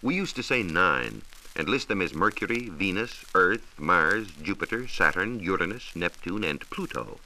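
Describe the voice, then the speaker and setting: An American man explaining science in a measured, precise, didactic way, in a scripted 1940s radio style. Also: low-pitched, expressive, bright, forceful tone, poor audio. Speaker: science reporter explaining astronomy. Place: radio studio. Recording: hissy 1940s radio transcription disc.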